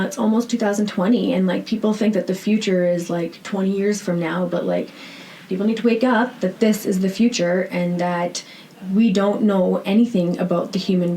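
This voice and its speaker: Podcast guest: Canadian woman, slightly low register, earnest and emphatic, conversational delivery, faint noise behind her. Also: mellow, rough recording. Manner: earnest, emphatic, often ending points with "right?". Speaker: podcast guest, founder of a rehab centre. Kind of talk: conversational interview answers. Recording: noticeable background noise.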